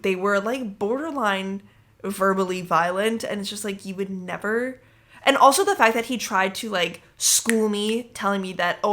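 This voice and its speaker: A young woman hosting a casual podcast and telling a story in an animated, indignant way. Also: mid-range voice. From English-speaking country, living in France eight years. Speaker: podcast host. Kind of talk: casual solo podcast chat.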